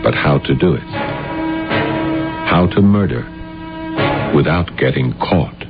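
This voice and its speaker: A very low-voiced, brooding man of forty, bitter and beaten, confesses with dramatic intensity in a radio play. The sound is dull old broadcast tape, with faint music. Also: lively intonation, takes it slowly, exaggerated voice. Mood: grim resolve. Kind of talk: 1970s radio drama acting, confessional narration. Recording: dull 1970s radio recording.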